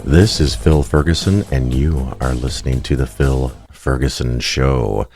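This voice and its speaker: A man hosting his own podcast, talking casually and bluntly, his voice raspy and gravelly from a cold. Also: a bass voice, unhurried, darkish tone, faint music behind. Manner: blunt, plainspoken, chatty with fillers. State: getting over a cold. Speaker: podcast host. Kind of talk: casual solo monologue.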